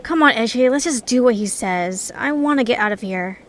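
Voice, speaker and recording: A high-voiced, pragmatic young female creature acted by a woman in an audio story. She is blunt, impatient and dryly sardonic. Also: animated, normal speed, a little hiss or hum, lightly acted. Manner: dry, sardonic.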